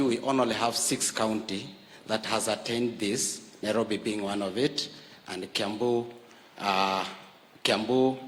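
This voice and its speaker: A man's voice: mid-range voice, a bit bright. Kenyan guy giving a speech in a big echoey hall, lots of uh's, pushes harder on key points. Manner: measured, with frequent "uh" fillers, rising emphasis on key points. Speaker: health worker leader addressing a political forum. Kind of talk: formal public speech. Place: large university hall. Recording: reverberant hall.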